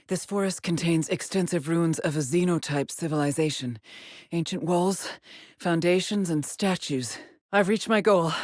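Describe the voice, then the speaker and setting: A determined but haunted woman stranded on an alien world in a video game. Her voice is breathy and husky, recorded close and dry. She speaks slowly, in measured, introspective log entries. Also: low voice, darkish tone, dry recording. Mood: urgent, awed. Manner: measured, introspective, grave, with sighs. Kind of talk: scripted video game monologue, solitary log narration.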